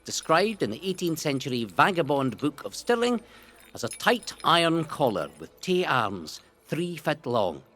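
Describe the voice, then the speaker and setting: A Scottish man reading a scripted history narration in a theatrical, relishing way, with deliberate emphasis. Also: a little high, big pitch swings, a bit bright. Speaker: narrator of a local history audio guide. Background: music.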